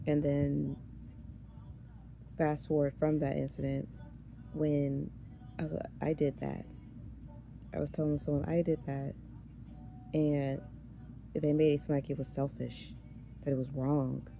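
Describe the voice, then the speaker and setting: Hoarse, gravelly woman rambling on camera. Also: low voice, level tone, a little slow, pauses often, highs cut off. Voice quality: raspy. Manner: rambling, loses her train of thought. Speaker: vlogger. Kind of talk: casual chat.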